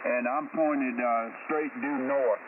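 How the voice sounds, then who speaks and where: A man with a mid-pitched voice chats as a ham radio net controller in a folksy, easygoing manner. The transmission is narrow and telephone-like, with clear static. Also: fairly even intonation, slow pace, recorded from a distance. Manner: folksy, easygoing buddy talk. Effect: heard over amateur radio. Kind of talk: casual amateur radio chat. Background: radio static and noise.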